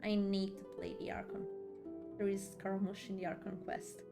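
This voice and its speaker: A woman's voice: mid-range voice, flat delivery, normal speed, vocal fry. A woman chatting casually on a game livestream, a bit sleepy, repeating phrases for emphasis. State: a bit sleepy after a long day. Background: background music.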